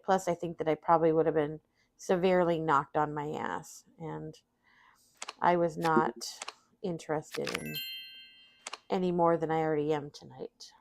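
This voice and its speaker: Gravelly, sniffly middle-aged woman rambling. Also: low-pitched, fairly even intonation, normal speed, long pauses. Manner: rambling, self-deprecating, talks to chat. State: sniffly, tired after vaccines. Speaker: streamer. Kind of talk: casual chat while playing solitaire.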